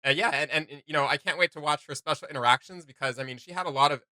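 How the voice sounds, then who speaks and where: A man's voice: fairly high pitch, rapid-fire, sharp tone, no echo. A man hosting a reaction video, chatting in an informal, rambling way. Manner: rambling, giggly, informal, many "like" and "you know". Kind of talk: casual reaction chat.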